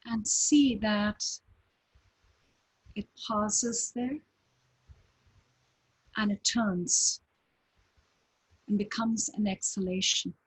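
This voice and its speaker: A woman leads a breathing meditation at a very slow, unhurried pace. Her voice is airy and breathy, far from crisp. She lets each short phrase settle into a long pause. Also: medium pitch, fairly even intonation. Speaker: meditation teacher.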